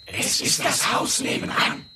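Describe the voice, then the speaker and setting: A man's voice: a little slow, sharp tone. An actor playing a defiant citizen of plague-time London, chanting his testimony in a 1960s German radio play. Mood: anxious denial. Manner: declamatory, chanting. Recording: echoey, distant from the mic.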